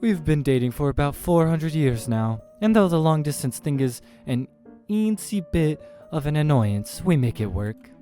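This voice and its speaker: A man's voice: a little high, sing-song, moderate pace, few pauses, dark tone, faint music behind, voice pushed up. A man voicing a doting, cheerful and fussy immortal boyfriend in a horror game. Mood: proud, cheerful. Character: gushing, lovestruck immortal boyfriend, cheerful and unfazed.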